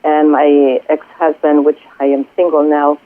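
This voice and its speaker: A woman from Greece with a Greek accent, a spiritual coach speaking earnestly and haltingly as a guest on a radio interview. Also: sits low, flat delivery. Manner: earnest, halting, many pauses and fillers. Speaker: spiritual coach and Reiki healer. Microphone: telephone. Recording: tinny phone line, dry.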